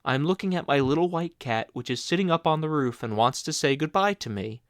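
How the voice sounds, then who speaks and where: A man's voice: on the high side, brisk, no room sound, studio quality. Hansel, a man voicing a brave reassuring boy. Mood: innocent. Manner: calm, reassuring.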